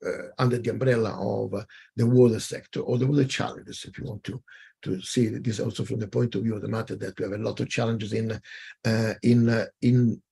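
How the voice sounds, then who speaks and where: A man's voice: on the low side, level tone, a little fast, vocal fry, dark tone, husky. A man moderating a webinar, presenting with many fillers and restarts over a video call.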